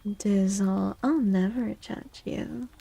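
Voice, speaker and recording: A soft-spoken woman streaming ASMR, talking gently in a husky, breathy voice that often drifts into whispers, with playful, quaintly formal wording. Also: medium pitch, fairly even intonation, slow pace. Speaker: live streamer and tarot reader.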